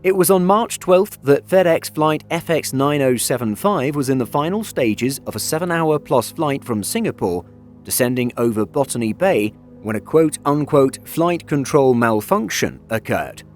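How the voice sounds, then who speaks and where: A man narrates a scripted news video with a mid-pitched voice. His delivery is measured and factual, in the manner of an aviation news voice-over, with music playing underneath. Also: lively intonation, a little mellow. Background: background music.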